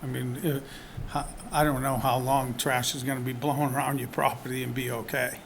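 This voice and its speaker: A man speaks from a podium microphone in a town meeting hall, earnest and somewhat defensive as he answers the board. His voice sits at an ordinary, mid-range pitch. A faint hum of the room stays under his words. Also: level tone, brisk, creaky. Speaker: business owner applying for a permit.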